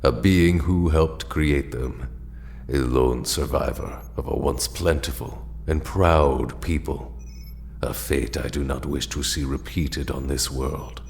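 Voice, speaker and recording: An extremely low-voiced alien mentor in an audio drama. He is ancient and grave, speaking with formal, measured solemnity as the last survivor of his people. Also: vocal fry, a little hiss or hum, exaggerated voice. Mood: grave, sorrowful.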